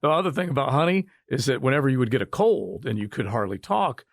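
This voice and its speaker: A man's voice: mid-range voice, lively intonation, fast, vocal fry, no echo. Male sports radio host, mid-range voice, casual and joking, close dry sound. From southern United States. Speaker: sports talk show host.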